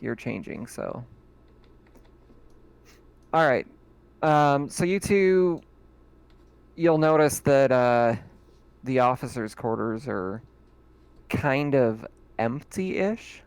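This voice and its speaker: A man acting as game master, narrating in a relaxed, chatty way and often laughing. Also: mid-range voice, slow pace, vocal fry, long pauses, clean tone, no room sound. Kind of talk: casual tabletop game narration.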